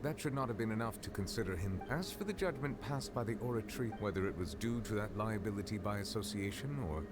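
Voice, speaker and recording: An actor playing a composed, formal judge and dragon in a video game, speaking in a measured, solemn way. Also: male voice, fairly low pitch, animated, natural voice. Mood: grave. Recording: game audio under loud music.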